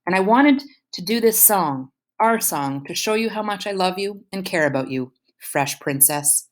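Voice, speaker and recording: A woman's voice: mid-range voice, expressive, normal speed, voice pushed up, lightly acted. A woman reading aloud and voicing an earnest, affectionate teenage boyfriend. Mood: eager.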